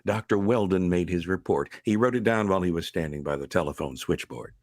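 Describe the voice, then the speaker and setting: A man narrating a vintage-style radio drama as a grave storyteller, with dramatic, deliberate emphasis. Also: low-pitched, a little mellow, no echo, studio quality, close to an everyday voice. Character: grave, suspense-building male storyteller.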